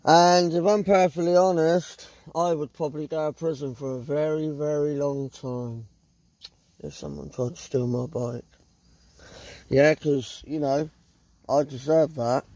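Slow-talking, sweary southern English man. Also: on the high side, steady tone, warm tone, no room sound, poor audio. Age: middle-aged. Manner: sweary, blunt. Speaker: vlogger. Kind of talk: casual handheld camping vlog, rambling to viewers. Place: inside a small tent in the woods at night. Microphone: handheld phone at close range.

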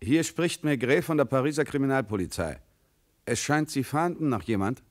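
An actor playing a calm, authoritative middle-aged police commissioner in a radio crime play, terse and probing. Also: male voice, mid-range voice, moderate pace, close to an everyday voice. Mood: firm, businesslike. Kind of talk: German radio play acting.

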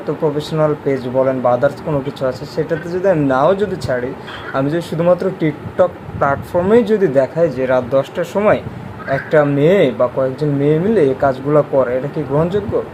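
A young man, a content creator talking casually on a phone call and to viewers, politely admonishing. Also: fairly high pitch. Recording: clearly noisy background hum.

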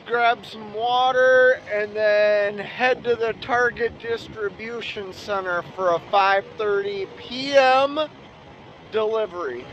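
Slow-talking trucker man. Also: high-pitched. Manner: relaxed and rambling, many ums. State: feeling unwell, tired. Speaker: truck driver vlogger. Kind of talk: casual vlog narration. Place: truck cab and outdoor truck lots. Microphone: phone or dash camera. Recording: noisy outdoor truck yard audio. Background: engine and traffic noise.

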